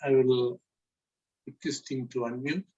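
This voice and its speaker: A man from India moderating an online question session, calmly calling on participants. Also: medium pitch, a little slow, slightly rough. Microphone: video call. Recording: dull lo-fi call audio.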